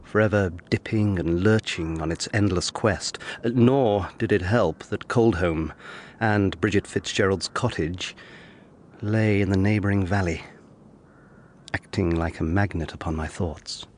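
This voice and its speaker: An actor playing an earnest young gentleman lawyer of the 1720s, formal and measured, narrating and acting in a period audio drama. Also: low voice, a little hiss or hum. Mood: reflective, weary.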